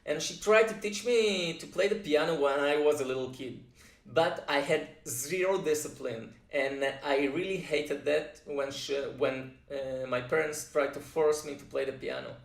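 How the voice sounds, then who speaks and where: A man's voice: a little high, moderate pace, vocal fry. A man in his thirties, a native Russian speaker from Russia, introducing himself in an earnest, plain vlog with frequent fillers. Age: 30s. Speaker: YouTube composer. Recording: roomy echo, mic set far off.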